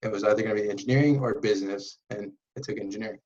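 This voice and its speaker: Low-voiced young man, muffled sound. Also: rapid-fire. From Los Angeles, USA. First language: Spanish. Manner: relaxed, laughs lightly, restarts words. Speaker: interview guest, engineer. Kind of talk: casual conversation.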